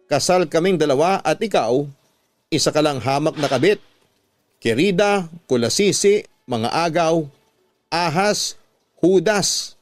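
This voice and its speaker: A man's voice: a little high, animated, unhurried. A man voicing an anguished, defiant betrayed wife in a radio drama, pleading and accusing emphatically. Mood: defiant, scornful. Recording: music bed under the voice.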